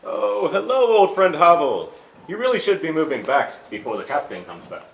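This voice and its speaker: A man's voice: a little high, big pitch swings, moderate pace, creaky. A man playing a friendly young soldier with hearty theatrical delivery in a staged reading. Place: indoor stage at an arts venue. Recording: echoey stage, faint background noise.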